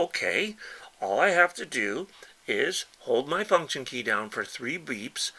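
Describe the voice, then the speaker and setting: A man with a bright, forward voice leads a hands-on tutorial. His mid-range pitch rises and falls in an animated way. He explains things casually and patiently, one simple step at a time. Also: creaky, rough recording. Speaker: instructor and presenter demonstrating a ham radio keyer.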